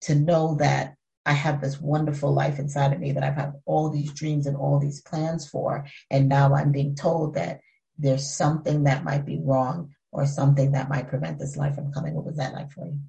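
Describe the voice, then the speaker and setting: Deep gravelly woman podcast host. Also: little pitch movement, moderate pace, rough recording. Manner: warm, chatty, asks open questions. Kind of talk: interview hosting.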